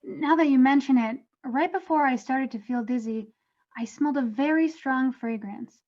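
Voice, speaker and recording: Woman playing a high-pitched doting ghost mom. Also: normal speed, darkish tone, lightly acted. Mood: puzzled recalling. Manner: doting, chatty, overbearing. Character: gushing middle-aged mother ghost, fussy and doting. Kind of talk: animated comedy table read. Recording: dull lo-fi microphone.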